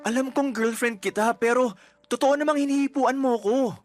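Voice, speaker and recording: A man's voice: extremely high pitch, moderate pace. A man playing an earnest, principled young boyfriend in a radio drama, defensive and pleading in manner. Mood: indignant, defensive. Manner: indignant, pleading, moralizing. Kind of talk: Tagalog radio drama acting.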